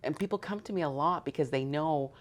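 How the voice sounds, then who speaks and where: This is a woman in her fifties, originally from northern Greece. Her voice sits low, and she talks with emphatic confidence. She circles back to stock phrases as she makes her points in a relaxed interview. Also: a little fast. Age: 50s. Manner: emphatic and confident, repeats "you know what" and "at the end of the day". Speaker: mortgage broker guest. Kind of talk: candid interview conversation.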